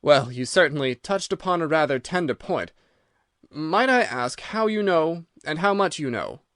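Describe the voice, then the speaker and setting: A man's voice: on the high side, lively intonation, moderate pace, fairly bright tone, dry recording, studio quality. A man voicing a genial but wary old country squire in a mystery story, hearty and exclamatory. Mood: relieved, uneasy.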